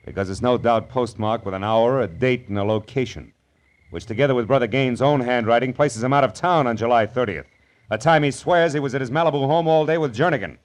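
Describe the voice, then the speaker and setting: An actor with an American accent playing a tough, sardonic private detective in an old-time radio drama, wry and clipped. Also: male voice, medium pitch, fairly quick, natural voice. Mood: commanding, sardonic. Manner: wry, hard-boiled, clipped.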